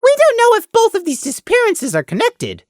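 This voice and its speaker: A woman's voice: very high-pitched, huge pitch swings, a little fast, no room sound, clean studio sound, voice pushed up, exaggerated voice. A woman voicing a cautious, earnest young male pony with a careful, hesitant manner in an audio story.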